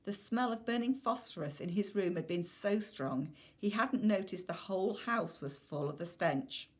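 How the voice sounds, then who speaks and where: A woman's voice: mid-range voice, animated, normal speed. A woman reading a children's novel aloud as scripted narration.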